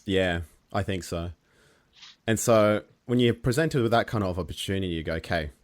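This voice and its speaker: Low-voiced man, Serbian native speaker. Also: expressive, moderate pace, no echo, clean studio sound. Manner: rambling, many fillers and restarts. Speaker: musician guest. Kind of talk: casual interview chat.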